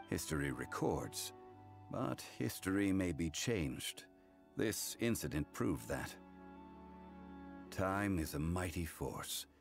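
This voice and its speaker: Extremely low-pitched ancient immortal man, slow delivery, solemn, wise, formal phrasing, video game dialogue, faint music behind. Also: gravelly, heavily acted. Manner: formal, measured, reflective archaic speech. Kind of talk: English game voice acting, story dialogue.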